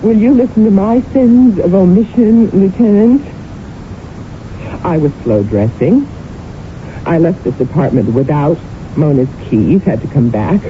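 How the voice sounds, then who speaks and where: An actress in a radio drama playing a guilt-ridden, grieving wife who is a stage comedienne, with a wry, brittle delivery. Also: female voice, fairly low pitch, lively intonation, unhurried. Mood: guilt-stricken, insistent. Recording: muffled, noisy old radio broadcast.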